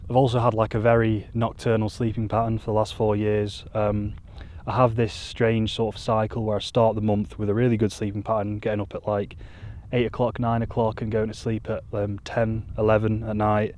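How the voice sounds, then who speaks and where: A man, talking to camera in a rambling, self-deprecating monotone on a hilltop, into a mic with a furry windscreen. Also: on the low side, a little fast. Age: 20s. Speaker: YouTuber. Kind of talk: casual vlog talk to camera. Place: outdoors on a hilltop.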